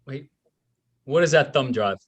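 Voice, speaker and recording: A man's voice: mid-range voice, lively intonation, a little slow, lo-fi sound. A man acting as an urgent, guarded associate in a detective screenplay table read. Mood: tense, insistent.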